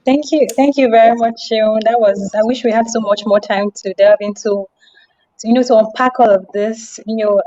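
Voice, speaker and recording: Soft-edged mellow lady host on a livestream, chatty and thankful, slightly muffled lo-fi sound. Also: medium pitch. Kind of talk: hosting a live online event. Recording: dull, lo-fi online audio.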